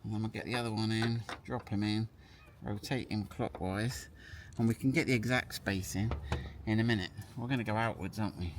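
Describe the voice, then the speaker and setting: A man's voice: on the low side, steady tone, brisk. A man giving a casual hands-on product demonstration, chatting and thinking aloud as he works. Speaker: product presenter. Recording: clear background noise.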